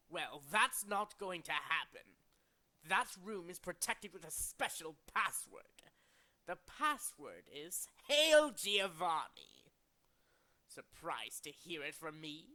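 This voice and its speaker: A theatrical game villain, a smug and cowardly blusterer. His bright, forceful voice swoops up and down as he boasts and frets in hammy fashion. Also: sits high, heavily acted. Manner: hammy, boastful, easily flustered. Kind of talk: video game villain character acting.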